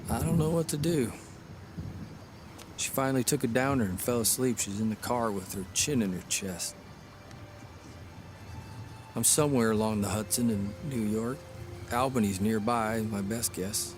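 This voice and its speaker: An actor playing a weary, lonely travelling writer, confiding haltingly in a period radio drama monologue. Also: male voice, on the low side, over music, close to an everyday voice.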